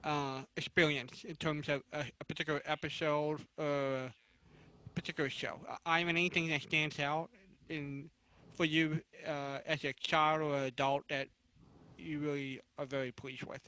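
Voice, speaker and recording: A man moderating a casual live panel interview, asking halting, rambling questions. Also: on the high side, little pitch movement, sharp tone, clear, resonant tone, low-quality recording.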